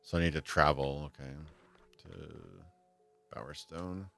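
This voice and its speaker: Deep voiced guy in his 30s streaming a game, laid back chatter, little laughs, faint music behind. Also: fairly even intonation, slow pace, gravelly, fairly bright tone. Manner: chatty, laughs often, reads chat aloud. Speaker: livestream gamer. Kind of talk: casual let's-play chat.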